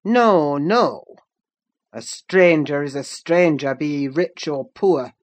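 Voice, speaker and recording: A stern master of a lonely farmhouse, acted out by a woman narrator, curt and commanding. He is surly and unwelcoming, a storybook tyrant with a low-set voice for a female reader. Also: animated, slow.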